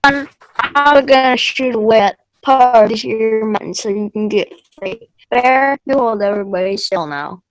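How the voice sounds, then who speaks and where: A woman's voice: fairly high pitch, measured pace, a little hiss or hum, lightly acted, rough recording. A woman playing a folksy, bold frontier hunter in a readers theater piece for children, with a declamatory delivery. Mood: earnest, rallying.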